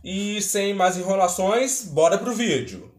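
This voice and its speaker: A man's voice: high-pitched, animated, unhurried, soft background music. A Brazilian man hosting a reaction video with casual commentary, recorded in a roomy space.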